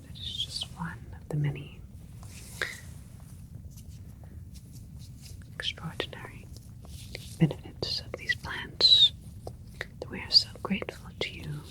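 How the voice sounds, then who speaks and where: A woman playing a gentle alien scientist doctor in a whispered ASMR sci-fi roleplay, speaking soothingly in a breathy voice with unhurried, halting phrases. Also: low-pitched, wildly swooping pitch, rough recording. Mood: calm, grateful.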